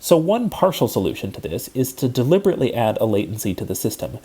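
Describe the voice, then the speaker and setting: Expressive male lecturer, slightly husky voice. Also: mid-range voice. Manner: measured, methodical explaining. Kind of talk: scripted technical lecture narration.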